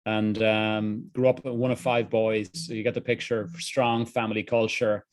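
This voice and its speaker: A man's voice: on the low side, level tone, a little slow, dry recording. A middle-aged man with a Dublin Irish accent, speaking candidly as an interview guest, often restarting phrases. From Ireland. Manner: candid, earnest, frequent restarts. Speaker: guest, executive coach. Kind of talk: reflective interview answers.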